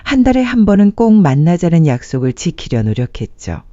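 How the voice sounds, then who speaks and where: A woman's voice: fairly low pitch, very animated, brisk, dark tone, no room sound, muffled recording. A woman narrating a sentimental story from a script, as a storyteller addressing listeners.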